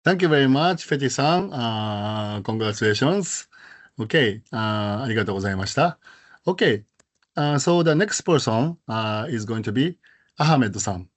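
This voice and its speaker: A man with a Japanese accent, a native Japanese speaker, hosting an online presentation meeting in halting speech full of fillers. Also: medium pitch, animated. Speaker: host.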